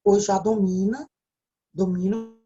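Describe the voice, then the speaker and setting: A woman's voice: medium pitch, steady tone, takes it slowly, mellow, lo-fi sound. A woman with a Brazilian Portuguese accent gives a recorded video lecture, explaining step by step and often checking in with a tag question. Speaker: teacher.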